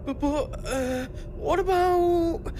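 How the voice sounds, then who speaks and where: An actor playing a nervous, shifty crystal dealer in a video game cutscene, with colloquial, wheedling speech. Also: male voice, very high voice, slow, a little mellow, slight background noise. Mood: nervous pleading. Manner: colloquial, hesitant pleading.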